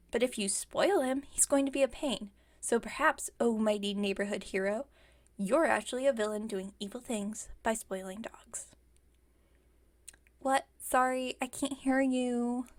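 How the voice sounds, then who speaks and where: A woman's voice: on the high side, close to an everyday voice. A woman playing a playfully defensive, stubborn villain inventor in an ASMR roleplay, chatting and teasing with mock innocence. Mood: teasing, accusing, then gleefully mocking. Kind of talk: ASMR roleplay, one-sided conversational acting.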